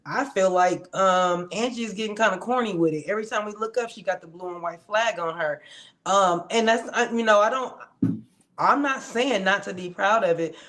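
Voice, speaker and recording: A woman's voice: medium pitch, normal speed. A woman co-hosting a podcast, chatting casually and giving frank opinions. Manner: relaxed, conversational, frank opinions. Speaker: podcast co-host recapping reality TV. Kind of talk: casual chat.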